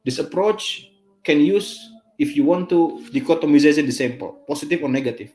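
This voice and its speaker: A man from Indonesia with an Indonesian accent, giving an online lecture in a halting way, full of fillers and restarts. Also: on the high side, a little mellow, soft background music. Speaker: guest lecturer. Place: online live stream.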